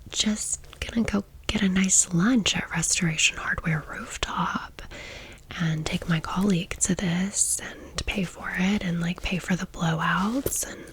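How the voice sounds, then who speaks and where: Slow, whispering American woman. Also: fairly low pitch. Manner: chatty, confiding, rambling with asides. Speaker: ASMR host. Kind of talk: whispered ASMR storytime.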